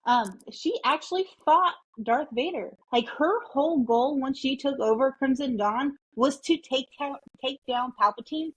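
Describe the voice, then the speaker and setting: A woman's voice: high-pitched, unhurried, hardly any pauses, husky, poor audio. A woman guest on a casual interview, an enthusiastic fan who sometimes drops to a whisper. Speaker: podcast guest, mother.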